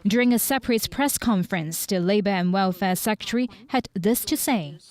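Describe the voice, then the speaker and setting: A female reporter voices a scripted news package. Her pitch is medium and her phrasing follows broadcast report style. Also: lively intonation, a little fast, darkish tone.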